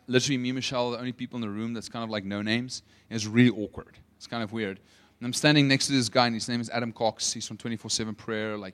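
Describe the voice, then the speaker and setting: A young South African man preaching a sermon, telling a story with swings between loud emphasis and quiet asides. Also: medium pitch. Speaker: pastor.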